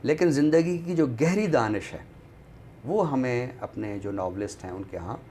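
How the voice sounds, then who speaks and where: A man with a Pakistani accent discussing books in a deliberate, scholarly way, often pausing mid-sentence. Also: mid-range voice, expressive, mellow. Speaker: literary show presenter. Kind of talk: book discussion in Urdu literary talk. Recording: noticeable background noise.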